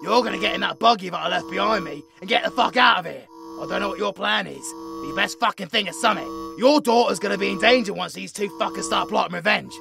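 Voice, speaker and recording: Man acting a very creaky, blunt British tough. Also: high-pitched, fast talker, music in the background. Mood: urgent, blunt. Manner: blunt, sweary. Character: foul-mouthed, irate man in a zombie horror drama. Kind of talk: horror audio roleplay acting.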